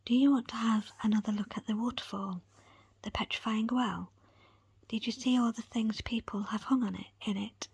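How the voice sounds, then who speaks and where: A woman voicing a patient, placating foster mother in a whispered audiobook, gentle and hesitant. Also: fairly high pitch, fairly even intonation, moderate pace, gravelly, breathy edge, dry recording, heavily acted. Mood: coaxing. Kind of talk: whispered audiobook character acting.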